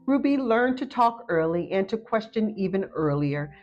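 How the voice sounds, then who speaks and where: A woman narrating a children's picture book aloud in an expressive storytelling style. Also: medium pitch, moderate pace. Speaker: storybook reader. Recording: muffled audio with faint music behind.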